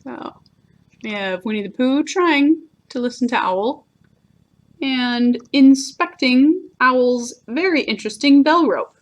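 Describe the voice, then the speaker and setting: A woman storyteller reads aloud from a children's book in a fairly high voice. Her delivery is gentle and expressive, with very varied intonation. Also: slow. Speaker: library storyteller.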